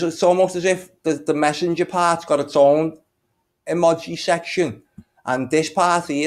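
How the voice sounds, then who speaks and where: A man giving casual, rambling commentary as he shares his screen, thinking aloud as he goes. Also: a little high. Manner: rambling, thinking aloud, muttering little filler tunes. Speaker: video maker and narrator.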